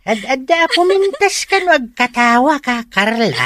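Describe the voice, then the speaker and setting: A woman's voice: sits high, very animated, a little slow, fairly bright tone, dry recording, full character voice. Woman acting a wildly swooping, teasing old village father. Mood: joking. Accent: Filipino, Ilocano. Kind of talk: comic Ilocano radio drama acting.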